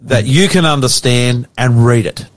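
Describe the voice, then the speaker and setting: A man's voice: mid-range voice, a little slow. A man hosting an interview, asking simple, plain questions and stumbling a little at times. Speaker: interviewer, host.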